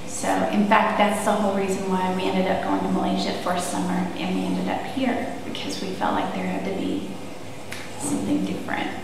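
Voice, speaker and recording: A woman's voice: medium pitch, monotone, fairly quick. A woman, answering earnestly in an interview. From Southern United States. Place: room indoors. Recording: echoey room, distant mic, hiss.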